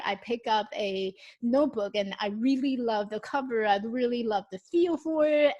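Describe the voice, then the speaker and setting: A woman in her thirties with a slightly high voice, speaking English as a second language with Mandarin as her first. She talks casually and chattily to her viewers, restarting sentences and filling pauses with "um" while she holds up her notebooks. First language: Mandarin Chinese. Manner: chatty, with frequent restarts and ums; shows notebooks to the camera while talking. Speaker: YouTube host. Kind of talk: casual vlog talk.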